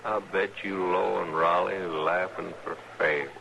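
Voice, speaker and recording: Slow, gravelly wounded country man. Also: deep voice, steady tone. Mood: weak, bitter. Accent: rural American. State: weak, badly hurt. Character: badly wounded, bitter, delirious country man. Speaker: actor in radio western. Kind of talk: old-time radio western acting. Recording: noisy old-time radio recording.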